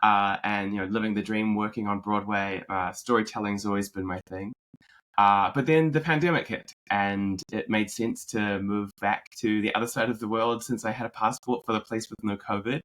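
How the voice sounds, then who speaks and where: Podcast guest: New Zealand man in his thirties, deep-ish voice, easygoing storyteller with lots of fillers. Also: normal speed. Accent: New Zealand, mixed with American. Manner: relaxed storyteller, many fillers and restarts. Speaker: podcast guest, marketing executive. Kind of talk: conversational interview.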